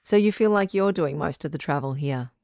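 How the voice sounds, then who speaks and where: A woman playing a calm, firm relationship advice doctor hosting a radio call-in drama, measured and probing. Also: deep voice, gravelly, no echo, studio quality.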